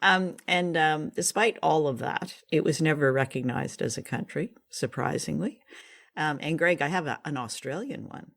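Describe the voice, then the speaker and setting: A woman's voice: low-pitched, normal speed, gravelly, fairly bright tone. A woman hosting a radio show in a casual, chatty style, with a light, playful manner. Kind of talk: casual hosted chat.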